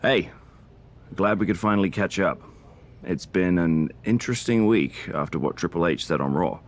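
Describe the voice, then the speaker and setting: A sly wrestler, voiced by a man chats backstage in a video game with a deep voice. He sounds friendly on the surface while needling the other man. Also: normal speed, close to an everyday voice. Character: sly male wrestler scheming over a draft pick. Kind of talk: backstage conversation.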